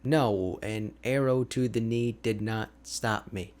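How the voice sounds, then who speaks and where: A man playing a slow-paced, boastful ice mage. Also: on the low side, darkish tone. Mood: boastful, playful. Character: energetic, boastful, chatty male ice mage hermit. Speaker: audio roleplay voice actor. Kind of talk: ASMR character roleplay.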